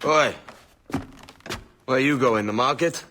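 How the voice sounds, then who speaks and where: An actor playing a hot-headed, ambitious young husband in a game drama, husky and roughly spoken, indignant in manner. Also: male voice, mid-range voice, takes it slowly, pushed and bright. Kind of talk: game cutscene drama acting.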